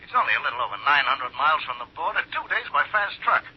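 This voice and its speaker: Brisk city editor, muffled old radio. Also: male voice, on the high side, big pitch swings, husky. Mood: urgent. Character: brisk, pushy male city desk newspaper editor. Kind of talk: 1950s radio drama acting. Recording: muffled, noisy 1950s radio recording.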